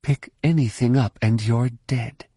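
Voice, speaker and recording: A man's voice: medium pitch, steady tone, unhurried, dark tone, no room sound, studio quality, lightly acted. A man voicing a fearless, stern woman warrior with a curt, commanding manner in an audiobook.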